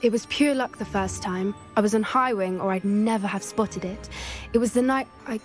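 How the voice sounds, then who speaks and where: An actress playing an earnest young female student who loves magical creatures, speaking in a soft, thoughtful, sometimes hesitant way in video game dialogue. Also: medium pitch, moderate pace, faint music behind, close to an everyday voice. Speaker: game character.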